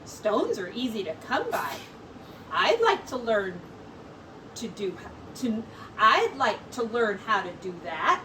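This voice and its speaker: Village mother, voiced by a woman reader, interested, practical, unhurried, expressive storybook dialogue, faint background noise. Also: mid-range voice, distant mic. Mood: thoughtful.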